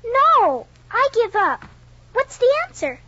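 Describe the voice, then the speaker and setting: A woman's voice: very high voice, big pitch swings, slow pace, bright, forceful tone, dull audio, exaggerated voice. A polite, wondering young girl. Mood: earnest. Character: polite curious girl lost in a strange land.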